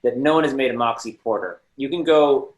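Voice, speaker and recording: A man in his thirties speaks in an echoey room over a video call. His pitch is average, and he chats in a casual, playful, wry and wordy way. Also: fairly quick. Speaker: guest panelist.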